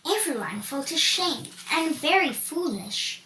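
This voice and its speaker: A woman reading a children's storybook aloud in an expressive way, with the occasional stumble. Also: high-pitched, unhurried. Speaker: story reader. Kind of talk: scripted children's storybook narration. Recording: roomy, distant mic, faint noise.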